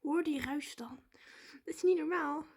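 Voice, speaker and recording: A woman, chatting casually and excitedly to viewers as a vlogger. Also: sits high, measured pace, creaky, background noise.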